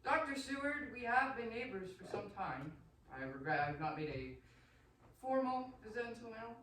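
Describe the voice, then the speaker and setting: A man playing a courtly, sinister vampire nobleman in a radio play, speaking formally and with deliberate, menacing politeness. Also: very high voice, very animated, pushed and bright, full character voice. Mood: menacingly courteous. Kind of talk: theatrical radio drama acting. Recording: very echoey room, distant mic, faint noise.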